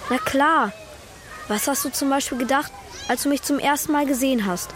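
A woman playing a calm, curious, direct young schoolboy in a German children's radio play. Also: high voice, normal speed, close to an everyday voice. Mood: gently probing.

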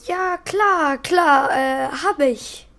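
A performer playing an eager, awkward schoolboy with a hesitant, excitable manner in a children's radio play. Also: sits high, extremely slow, vocal fry, full character voice.

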